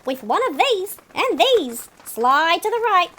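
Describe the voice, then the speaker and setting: Super high squeaky cocky seagull put on by a storybook reader, wisecracking, slow drawn-out swaggery delivery. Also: sing-song, pushed and bright, full character voice. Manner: brash, wisecracking, theatrical. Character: boastful, melodramatic cartoon seagull, streetwise snack thief. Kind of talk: animated picture-book read-aloud for children.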